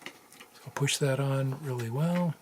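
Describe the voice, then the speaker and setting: Male hobbyist, casual bench tutorial, halting and low-key, mid-pitched, some background noise. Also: darkish tone. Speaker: electronics hobbyist demonstrating a repair. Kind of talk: casual unscripted how-to narration while working. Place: indoors at a workbench. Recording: noisy room with handling clicks.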